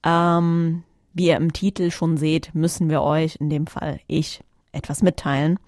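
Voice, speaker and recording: A woman's voice: low-pitched, level tone, measured pace, darkish tone, no echo. A woman hosting a podcast alone, chatting informally in a studio and often searching for her words. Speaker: podcast host. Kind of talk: casual solo podcast announcement.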